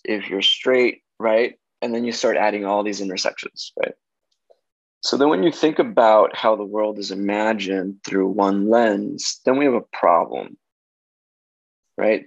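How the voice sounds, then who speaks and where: A man's voice: lowish voice, no room sound, highs cut off. A man in his thirties from Los Angeles, a casting director talking reflectively on a video call from his home kitchen, gesturing as he checks in with "right?". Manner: reflective, animated hand gestures, frequent "right?" tags. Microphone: video call, laptop camera.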